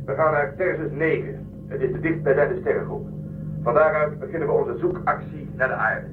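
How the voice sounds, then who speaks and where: Commanding ship leader, intercom effect, roomy echo, phone-like band, radio drama. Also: male voice, fairly high pitch, fairly even intonation, normal speed. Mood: urgent, commanding. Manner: authoritative. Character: brisk male spaceship leader giving orders. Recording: echoing thin intercom sound, music behind.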